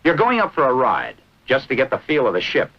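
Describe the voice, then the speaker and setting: An American man narrating a 1940s military training film in a brisk, instructive manner with clipped short phrases. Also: medium pitch, wildly swooping pitch. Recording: dull, band-limited vintage film soundtrack.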